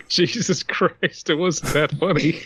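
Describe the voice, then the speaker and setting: A man's voice: fairly high pitch, animated, takes it slowly, fairly bright tone, breathy edge. A man co-hosting a comedy podcast, bantering casually in a sardonic, sweary way. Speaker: podcast co-host.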